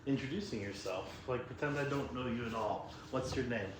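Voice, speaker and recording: A man's voice: mid-range voice, brisk, slight background noise. Young man casually interviewing, echoey room. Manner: relaxed, chatty questions with frequent laughter.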